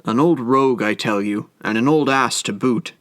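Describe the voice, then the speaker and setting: A man voicing a boastful young husband who scoffs loudly. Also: medium pitch, moderate pace, lightly acted. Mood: scoffing. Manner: scoffing and loud.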